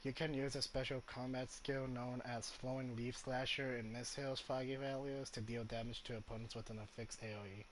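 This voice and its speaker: A man giving casual gameplay commentary as a streamer, haltingly reading game text and thinking out loud. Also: medium pitch, slight background noise, low-quality recording. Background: game music.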